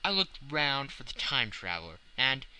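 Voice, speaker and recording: A man reading a classic novel as a dramatic audiobook narration, with measured literary phrasing and deliberate pauses. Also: mid-range voice, big pitch swings, pushed and bright, clear, resonant tone, low-quality recording.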